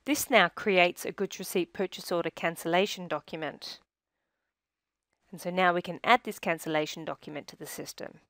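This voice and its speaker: A woman reading scripted software tutorial narration in a measured, instructional manner, recorded as a close-miked voice-over. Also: on the low side, fairly even intonation, no echo.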